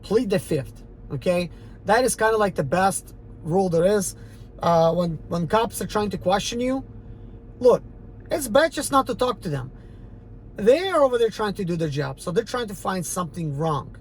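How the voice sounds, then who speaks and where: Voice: medium pitch, expressive, normal speed, slight background noise, low-quality recording. Defiant rambling speaker, Eastern European accent. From Ukraine, former Soviet Union. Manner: defiant, emphatic and rambling, with many fillers. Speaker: rideshare driver and channel host. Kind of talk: casual unscripted monologue.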